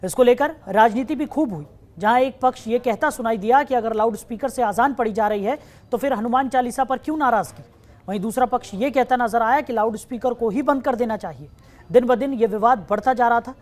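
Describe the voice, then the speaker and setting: A woman news anchor reading a scripted report and introducing guests in a brisk, formal manner. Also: fairly high pitch. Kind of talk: scripted news narration and hosting.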